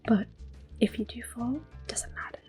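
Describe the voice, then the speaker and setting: A woman softly whispering gentle, unhurried ASMR commentary as they play a video game, explaining each step in a breathy voice. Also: a little high, steady tone, soft background music. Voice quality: breathy whispering, soft-spoken. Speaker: gaming ASMR video host. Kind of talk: soft-spoken ASMR gameplay commentary.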